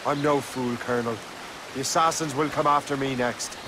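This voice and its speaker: A man's voice: mid-range voice, steady tone, normal speed, noisy background, close to an everyday voice. A man playing a guarded, terse sea captain. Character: hardened young male ship captain, guarded and loyal. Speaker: game character, ship captain. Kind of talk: cinematic game voice acting. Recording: game soundtrack playing beneath. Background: loud orchestral music.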